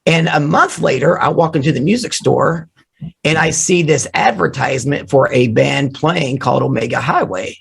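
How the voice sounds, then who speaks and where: A man who is losing his voice, hoarse, telling animated, joking stories about his drumming start as an interview guest on a remote call. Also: on the high side, normal speed. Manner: animated storyteller, jokey. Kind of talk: casual storytelling interview.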